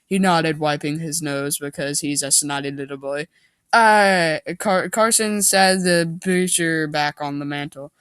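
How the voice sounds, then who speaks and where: Man, unhurried, reading amateur fiction badly on purpose. Goofy, mocking, quick to break off and comment. Dry sound. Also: on the high side, a bit bright, clean tone, no echo. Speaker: reader and commentator. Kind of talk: mock dramatic reading of amateur fiction with joking asides.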